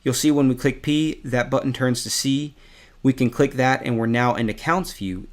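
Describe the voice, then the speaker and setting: Man walking through a software tutorial. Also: medium pitch. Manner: explanatory, conversational. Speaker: tutorial instructor. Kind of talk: step-by-step software tutorial narration.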